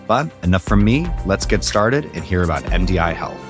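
A man's voice: low voice, lively intonation, a little fast, over music. A man hosting an interview podcast, friendly and fumbling a little with restarts as he asks questions. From Colorado. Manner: friendly, searching for words with restarts. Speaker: podcast host.

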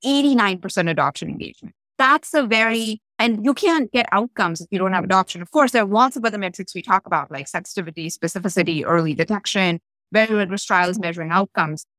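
A woman physician talking in an interview, quick and earnest, with many fillers. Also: medium pitch, a bit bright, dry recording, poor audio. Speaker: physician founder guest. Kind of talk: interview talk.

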